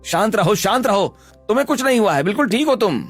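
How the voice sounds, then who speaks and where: A man voicing a devoted young husband in a cartoon story, soothing and gently teasing. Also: sits high, big pitch swings, fast, no room sound, clean studio sound, soft background music. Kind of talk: Hindi cartoon story voice acting.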